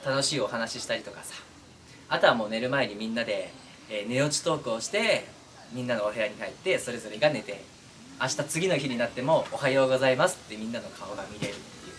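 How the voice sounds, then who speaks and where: A young man talks informally to his online audience in a mid-pitched, very lively voice that moves up and down a lot. He sits some way from the microphone, with clear noise around him. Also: brisk. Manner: chuckles between phrases, informal. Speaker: voice-acting content creator. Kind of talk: casual chat to viewers. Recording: distant mic with clear background noise.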